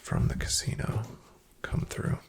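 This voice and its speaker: A man playing a shady, guarded back-alley card dealer in a soft-spoken ASMR roleplay, half-whispering his clipped, unhurried patter with a gravelly creak. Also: a little hiss or hum, lightly acted. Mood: guarded, confiding.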